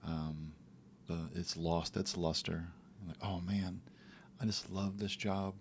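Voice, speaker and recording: A man co-hosting a podcast, talking in a relaxed, reflective way about faith and daily life, sometimes acting out little quotes. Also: sits low, slow, a little hiss or hum. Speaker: podcast co-host. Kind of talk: casual faith talk.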